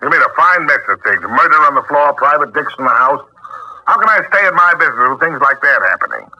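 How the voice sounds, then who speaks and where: A short-tempered crook in a vintage radio thriller. His voice is piercingly bright and very animated, sarcastic and commanding. The old recording is dull, with some noise. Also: fairly high pitch, fast, full character voice. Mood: commanding, irritated. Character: sardonic, bossy crooked businessman with a short temper. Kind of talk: 1940s radio crime acting.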